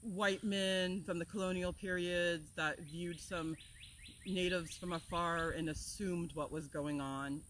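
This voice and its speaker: A woman from Florida, an herbal teacher, talking in an energetic, passionate way as she opens an outdoor class. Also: low-pitched, a little hiss or hum. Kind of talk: casual talk to open an outdoor class. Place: outdoors among plants, with birds and insects.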